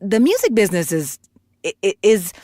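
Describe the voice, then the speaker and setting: A woman's voice: medium pitch, sing-song, moderate pace, warm tone, no echo, studio quality. A woman in her forties from Puerto Rico, telling her life story candidly in a casual interview, with many restarts. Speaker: guest voice actor and singer.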